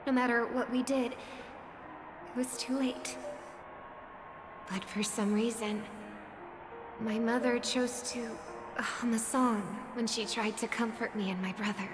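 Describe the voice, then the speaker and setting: Gentle, idealistic young songstress for a game: higher-pitched, measured, reverberant, over music. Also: female voice, fairly even intonation. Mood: wistful, reflective. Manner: measured, soft-spoken, earnest. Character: gentle, earnest young female singer, idealistic and resolute. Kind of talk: video game voice acting. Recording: long reverb, music under the voice. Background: background music.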